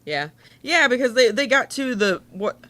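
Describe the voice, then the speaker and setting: A woman's voice: fairly high pitch, big pitch swings, moderate pace, bright, forceful tone, no room sound. A woman chatting casually as a podcast co-host. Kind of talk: casual chat.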